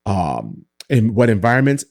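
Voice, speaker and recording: A man, a vocal coach guest in an interview, speaking earnestly with a naturally high voice and explaining things step by step. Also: wildly swooping pitch, normal speed, vocal fry, a little mellow, dry recording, studio quality. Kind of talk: casual interview conversation.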